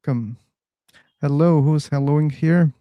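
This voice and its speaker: A man hosting a casual live online discussion room, chatty and quick to laugh. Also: a little high, no echo, muffled recording. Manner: relaxed, chatty, laughs easily. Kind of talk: casual live-stream chat.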